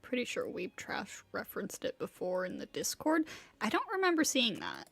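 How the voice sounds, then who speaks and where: Bright, animated woman livestreamer. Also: medium pitch, moderate pace, vocal fry, husky, dry recording. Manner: joking, exclamatory, talks to chat. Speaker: game livestreamer. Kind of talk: casual live reaction commentary. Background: game music.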